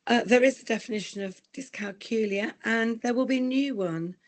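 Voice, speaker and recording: A woman with a croaky voice from recent illness, giving an earnest, explanatory online presentation. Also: fairly high pitch, moderate pace. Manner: earnest, explanatory, asks for slide changes. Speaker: guest presenter.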